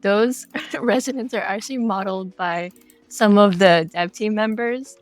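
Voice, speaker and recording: A woman's voice: medium pitch, a little slow, no echo, faint music behind. A woman speaking as a guest game developer in a casual podcast interview, explaining things conversationally.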